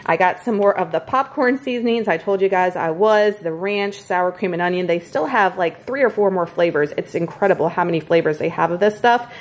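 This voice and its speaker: A woman's voice: lowish voice, brisk, hardly any pauses. Chatty woman showing groceries. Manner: chatty, upbeat, addresses viewers as y'all. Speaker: vlogger showing a grocery haul. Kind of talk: casual chat. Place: home kitchen, indoors.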